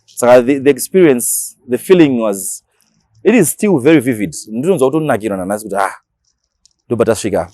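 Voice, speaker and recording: A man's voice: medium pitch, expressive, a little fast, dark tone, no echo. A man with a Zimbabwean accent, talking casually as a radio DJ being interviewed, mixing languages and repeating words for emphasis.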